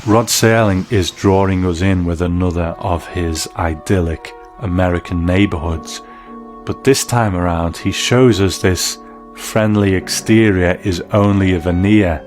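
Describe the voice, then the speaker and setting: A male reviewer with a low voice gives scripted commentary. Faint music plays behind him as he presents. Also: a little slow, darkish tone.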